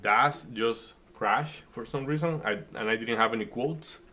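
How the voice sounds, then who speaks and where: This is a man from the Dominican Republic who speaks with a Dominican Spanish accent, Spanish being his first language. His voice is bright and forward. He chats loosely and jokingly while calling trades, often breaking off and restarting his sentences. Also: mid-range voice, measured pace, a little hiss or hum. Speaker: day trading streamer host. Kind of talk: casual live-stream chat and market commentary.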